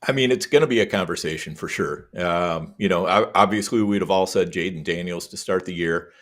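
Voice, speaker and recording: A man's voice: sits low, a little fast, fairly bright tone. A man speaking as a guest on a sports podcast, easygoing and full of ums and you-knows. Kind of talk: casual sports podcast chat.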